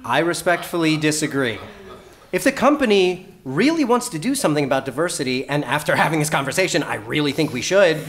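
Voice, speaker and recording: A woman's voice: sits low, animated, a little fast, some chatter behind. An actress playing a principled, incredulous female office worker in a satirical audio comedy, with hesitant starts and blunt, exasperated objections. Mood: earnest, principled.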